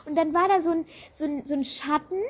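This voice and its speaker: A woman's voice: high voice, fairly quick, crowd noise. A woman co-hosting a casual podcast game, often searching for words, in a slightly noisy amateur recording. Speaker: podcast host. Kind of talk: casual chat, guessing game. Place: outdoors.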